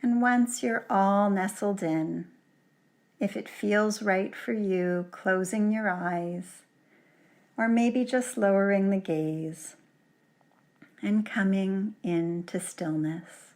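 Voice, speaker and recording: Woman, slow pace, gentle and soothing, long pauses, guided relaxation meditation. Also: medium pitch. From Canada. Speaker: yoga nidra teacher.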